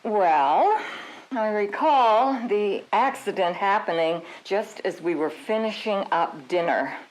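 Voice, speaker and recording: Guarded female chief witness, slow, medium pitch, formal deposition in audio comedy. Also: lively intonation, rough recording. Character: senior organization woman giving guarded formal testimony.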